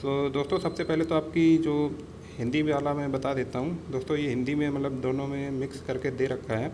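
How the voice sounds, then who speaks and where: A man's voice: mid-range voice, level tone, a little fast, darkish tone. A man giving an informal explainer lesson as an instructor, repeating himself, addressing listeners as friends and mixing in English words. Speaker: exam-prep instructor. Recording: clear background noise.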